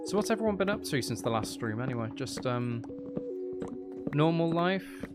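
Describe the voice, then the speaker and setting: A man's voice: a little high, big pitch swings, moderate pace, loud music behind the voice. A man hosting a casual gaming livestream, rambling and thinking aloud with playful, mock-dramatic asides. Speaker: livestream host.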